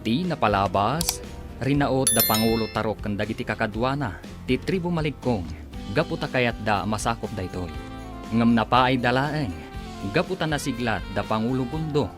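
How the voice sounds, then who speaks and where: A male radio drama announcer with a medium pitch and a very animated delivery. He stretches his phrases theatrically, with clear music behind him. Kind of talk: dramatic scripted radio narration.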